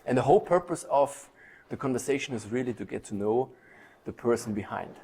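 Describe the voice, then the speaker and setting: A man's voice: mid-range voice, brisk, mellow, slight background noise. A German man interviewing a guest in a chatty, casual way, with frequent fillers and hesitations. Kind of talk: friendly hosted interview.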